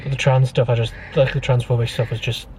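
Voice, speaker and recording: A man's voice: medium pitch, flat delivery, fast talker, a little mellow. A man chatting casually in a vlog, with hesitant, tentative phrasing. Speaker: vlogger's partner. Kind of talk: casual chat. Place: inside a car. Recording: slightly distant, faint background noise.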